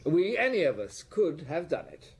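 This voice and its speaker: A man acting a suave, sardonic aristocratic count in a radio drama, speaking with polite, ominous deliberation and a husky voice. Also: fairly high pitch, sing-song, normal speed, full character voice. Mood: wry.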